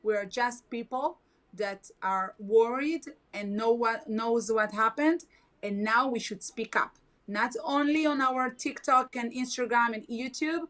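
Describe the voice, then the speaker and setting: A woman's voice: on the high side, fairly bright tone. Earnest woman, Hebrew native speaker. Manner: earnest, halting non-native phrasing. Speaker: guest. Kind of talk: casual video chat.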